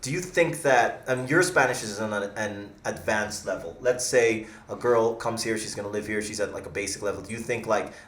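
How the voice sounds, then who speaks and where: Chatty low-voiced podcast host. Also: male voice, fairly quick, few pauses, a bit bright, a little hiss or hum. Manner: chatty, jokey, rambling questions. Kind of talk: casual interview chat. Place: small studio room. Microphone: studio mic on arm. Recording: roomy studio echo.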